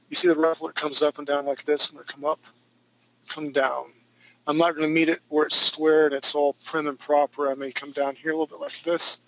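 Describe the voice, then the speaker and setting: A man's voice: a little high, pushed and bright, slight background noise, low-quality recording. A man in his thirties teaching a live drawing class, talking through each stroke with chatty joking asides. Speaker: drawing instructor.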